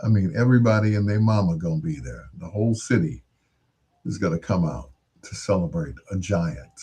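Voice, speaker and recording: A man's voice: low voice, lively intonation, brisk, dark tone, slightly rough. An American man hosting in a casual, rambling monologue, musing aloud and posing rhetorical questions. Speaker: radio-style host.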